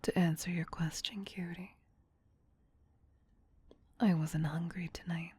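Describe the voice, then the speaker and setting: Slow, whispering vampire queen, played by a woman. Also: on the low side, steady tone, dry recording, exaggerated voice. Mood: gently affectionate. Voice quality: soft, breathy whisper. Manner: slow, doting, soothing. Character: tender, possessive ancient vampire queen soothing her pet. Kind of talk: whispered ASMR roleplay.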